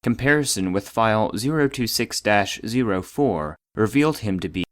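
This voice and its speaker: An actor playing a formal database voice, reading clinical case logs in a detached, precise manner. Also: male voice, lowish voice, lively intonation, normal speed, no echo, lightly acted. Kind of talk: scripted narration of clinical reports.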